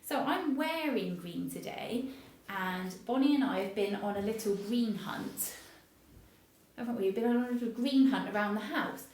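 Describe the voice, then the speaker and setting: A woman's voice: medium pitch, darkish tone. A woman reading a story and chatting to young viewers in simple, child-directed phrases. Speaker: playgroup story reader and presenter.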